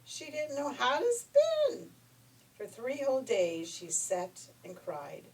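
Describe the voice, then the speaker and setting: A woman reading a children's story in an expressive, folksy way. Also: high voice, slow pace. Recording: noisy, distant room recording.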